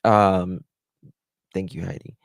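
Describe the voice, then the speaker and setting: A man's voice: sits low, level tone, slow pace, gravelly, no room sound. A man hosting a casual live stream, chatty and self-deprecating, thanking viewers in chat by name. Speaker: livestream host.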